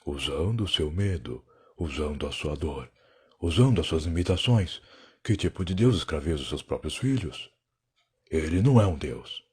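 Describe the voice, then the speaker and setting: Guy doing weary defiant time traveler for audio drama, super deep gravelly brazilian voice, brooding and sarcastic. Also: lively intonation, moderate pace, darkish tone, heavily acted. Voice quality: husky, gravelly. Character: weary, defiant alien time-traveler, sardonic adult male. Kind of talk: dramatic first-person audio drama narration.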